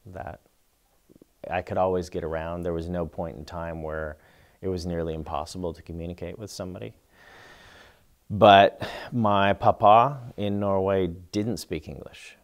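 A middle-aged man seated in a small studio, answering interview questions in a thoughtful, measured way with pauses and restarts. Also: deep voice. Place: indoor studio before a plain backdrop.

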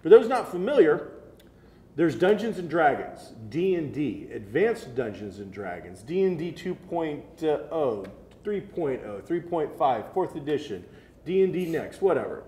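A man's voice: a little high, big pitch swings, measured pace, dark tone. An American man in his thirties co-hosting a geek show, chatting casually and explaining details with enthusiasm, seated indoors at a table. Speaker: co-host of a geek culture show. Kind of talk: casual review chat. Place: medium-sized indoor room, seated at a table. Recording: roomy echo with faint background noise.

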